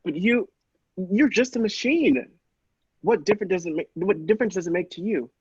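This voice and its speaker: A man's voice: sits high, lively intonation, moderate pace, slightly rough, no room sound, highs cut off. A man playing an anxious, cautious doctor in an old-style radio play, speaking with earnest worry. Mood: shocked. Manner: worried, earnest.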